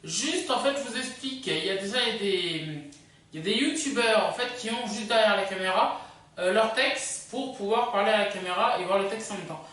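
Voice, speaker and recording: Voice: medium pitch, fairly quick, fairly bright tone. A video host presenting a countdown casually and unscripted, rambling and hesitant, often drifting into asides. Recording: echoey room, distant microphone.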